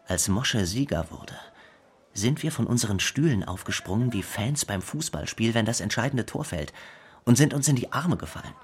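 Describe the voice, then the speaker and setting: An actor playing a singer's proud, excitable brother, telling memories in a radio drama. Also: male voice, lowish voice, moderate pace, lightly acted. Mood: proud, nostalgic. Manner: reminiscing, storytelling. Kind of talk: documentary radio drama monologue.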